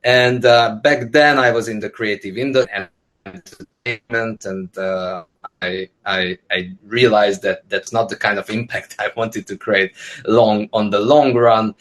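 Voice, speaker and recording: A man's voice: on the low side, steady tone, unhurried, a bit bright, low-quality recording. Man from Hungary speaking on a webinar. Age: 30s. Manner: many fillers and restarts, conversational. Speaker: panel speaker, entrepreneur. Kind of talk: casual spoken talk. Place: indoor room, video call. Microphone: video call.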